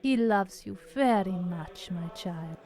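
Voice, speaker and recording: A woman playing a calm, grave nursing nun. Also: medium pitch. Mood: gentle, grave. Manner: gentle, grave, measured. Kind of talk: audio drama acting.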